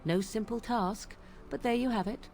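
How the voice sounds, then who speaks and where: An actress voicing an aloof witch in a fantasy game, speaking in measured, archaic phrasing. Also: fairly high pitch, darkish tone, slight background noise, close to an everyday voice. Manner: cool, measured, archaic diction. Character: aloof, wry witch woman, archaic speech.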